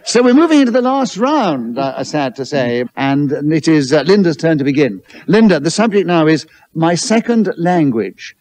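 A man's voice: on the high side, lively intonation, moderate pace, hardly any pauses, a little mellow. A man hosting a comedy panel game in a theatre, courteous and effusive in a formal way. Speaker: host. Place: theatre with a live audience.

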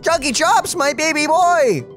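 A woman's voice: sits high, lively intonation, unhurried, a bit bright, faint music behind. A woman co-hosting a video game playthrough with casual, playful, teasing reactions. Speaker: let's play co-host.

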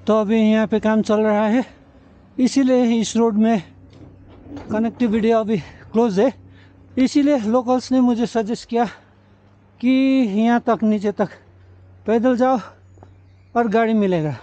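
Slow, high-voiced man vlogging outdoors. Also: steady tone, warm tone, no room sound. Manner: mixes English words and phrases into speech. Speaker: travel vlogger. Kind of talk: casual vlog narration to camera while trekking. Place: outdoors on mountain roads. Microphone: camera held at arm's length. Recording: slightly dull, muffled camera audio.

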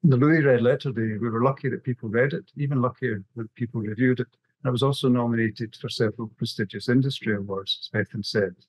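A man's voice: mid-range voice, fairly even intonation, fast, husky, dry recording, low-quality recording. A middle-aged man with a Scottish accent, presenting a prepared webinar talk over a video call. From west coast of Scotland. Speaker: graphic novelist, webinar presenter.

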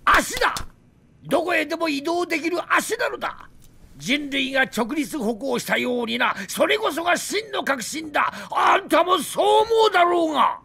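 A man's voice: very high-pitched, expressive, moderate pace, vocal fry, pushed and bright, raised voice, a little hiss or hum, exaggerated voice. An actor playing a drunk, bitter old Soviet weapons designer in a video game, boastful and theatrical in his indignant ranting. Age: older. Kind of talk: dramatic video game cutscene acting.

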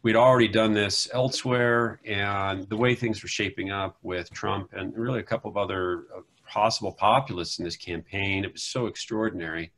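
A man's voice: lowish voice, steady tone, normal speed, few pauses, a bit bright, a little hiss or hum. A man in his forties, a political scientist, explaining his research in a casual, thoughtful way on a video call. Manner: thoughtful, explanatory, with "you know" asides. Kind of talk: casual academic conversation. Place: home room.

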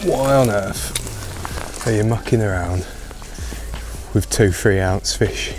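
Slow, low-voiced British man outdoors. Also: background noise. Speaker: angler presenting a fishing video. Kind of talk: casual fishing commentary to camera. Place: outdoors on a wooded riverbank. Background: music.

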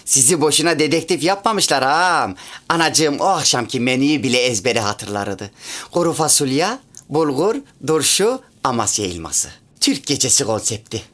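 A man's voice: medium pitch, lively intonation, moderate pace, fairly bright tone, close to an everyday voice. An actor in a comic radio play voicing a chatty, sentimental half-French man with a rural Central Anatolian Turkish accent and a pedantic streak. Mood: chatty storytelling. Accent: rural Central Anatolian Turkish, Çorum area. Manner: folksy, rambling, pedantic about grammar. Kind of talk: comic radio theatre dialogue.

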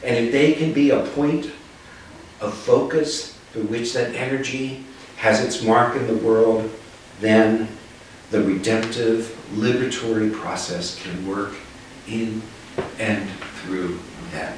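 A minister in his sixties giving a reflective sermon in a measured, solemn, deliberate way. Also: lowish voice, steady tone. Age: 60s. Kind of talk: reflective sermon, read from notes. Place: living room with small seated group. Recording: echoey living room, distant mic, faint noise.

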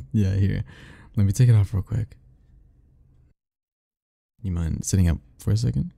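A man's voice: on the low side, takes it slowly, vocal fry, warm tone. A young man doing an ASMR roleplay as a teasing, easygoing roommate talking to the listener, laughing often.

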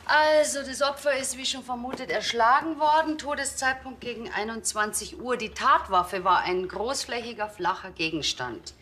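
An actress playing a brisk, wry forensic pathologist, talking matter-of-factly. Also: female voice, on the high side, pushed and bright, a little hiss or hum. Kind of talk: TV crime comedy acting.

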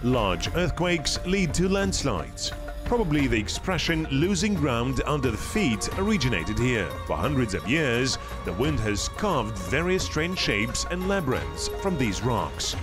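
A man reading scripted documentary narration in a lively storytelling way. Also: medium pitch, measured pace. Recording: voice-over with music behind.

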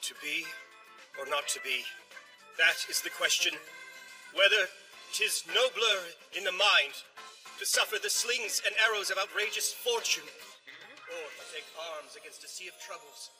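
Young thespian for animation: high, forceful, grandly declaiming verse over faint music. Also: male voice, a little slow, heavily acted, rough recording. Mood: grand, dramatic. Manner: grandly theatrical. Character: young male stage actor declaiming Shakespeare. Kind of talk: stage declamation in animated dub.

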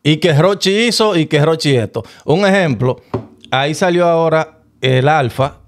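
A man co-hosting a casual studio podcast chat, animated and pushing topics along. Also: fairly high pitch, moderate pace. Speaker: podcast co-host. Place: small studio, indoors. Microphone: studio mic on arm.

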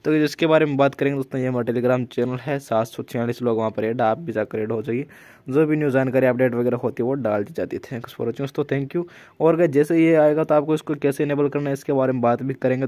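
A man's voice: mid-range voice, mellow, dry recording. A man with an Indian accent hosting a casual tech news voice-over, often addressing viewers as friends. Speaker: tech channel host.

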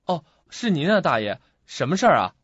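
A man's voice: a little high, big pitch swings, fairly quick, a bit bright, breathy edge, no room sound, heavily acted. An actor playing a puzzled, anxious young man in a radio drama, speaking hesitantly and questioning himself. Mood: hesitant, polite. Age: young adult. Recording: muffled lo-fi audio, music behind.

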